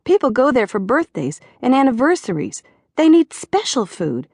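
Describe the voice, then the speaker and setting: A woman's voice: high-pitched, big pitch swings, normal speed, darkish tone, slightly rough, no room sound, studio quality, voice pushed up, full character voice. A woman voicing a middle-aged man from Georgia, a head waiter who moans and complains with exasperation. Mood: exasperated. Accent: Georgia (US South). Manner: moaning, exasperated.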